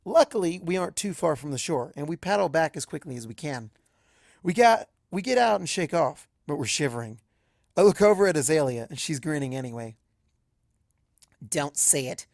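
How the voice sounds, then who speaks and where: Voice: mid-range voice, animated, a little fast, vocal fry, a little mellow, no echo, clean studio sound. A narrator reading a first-person story aloud in a narrative storytelling style. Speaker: fan fiction narrator.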